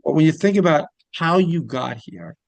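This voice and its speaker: A man with a somewhat high, gravelly voice, recorded close and dry. He hosts a conversational interview and tends to ramble, doubling back within his sentences. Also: lively intonation, normal speed, dry recording, rough recording. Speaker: podcast host interviewer.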